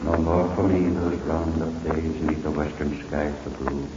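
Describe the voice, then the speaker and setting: A man solemnly reciting a cowboy poem in measured verse on an old 1940s radio recording. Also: very deep voice, steady tone, reverberant, muffled recording. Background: prominent music.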